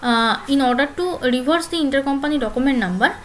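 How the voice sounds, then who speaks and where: A woman giving a spoken screen-share software tutorial as instructor, phrasing haltingly with many filler pauses and repeating phrases step by step. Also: high-pitched, a little hiss or hum.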